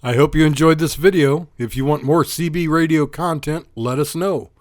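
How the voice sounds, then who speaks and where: A man's voice: on the high side, moderate pace, no echo, clean studio sound. A man reading scripted explainer narration as host of an electronics channel, in a plain, instructional manner.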